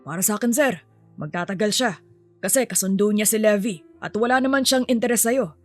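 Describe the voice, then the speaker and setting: A woman's voice: mid-range voice, a little fast, soft background music, natural voice. A woman voicing a frank, matter-of-fact male butler in a dramatised story. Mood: blunt.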